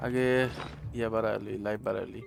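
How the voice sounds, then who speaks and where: A man's voice: lowish voice, flat delivery, very fast, gravelly, soft background music. A man with a Bangladeshi accent casually commenting on a game he is playing, in muttered colloquial asides. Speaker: gamer streaming a playthrough.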